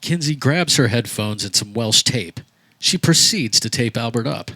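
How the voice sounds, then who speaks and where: A man's voice: medium pitch, expressive, moderate pace, pushed and bright, no echo. A man reading scripted stage directions as the narrator of a comedy, in a matter-of-fact way. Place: backyard, live performance.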